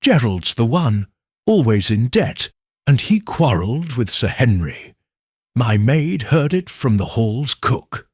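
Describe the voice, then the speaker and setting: A man plays a village spinster in a storybook mystery, sharp-tongued and contradicting. The voice has a slightly husky edge and lively pitch. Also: slow, no echo, studio quality, exaggerated voice. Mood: dismissive. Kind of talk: audiobook character reading.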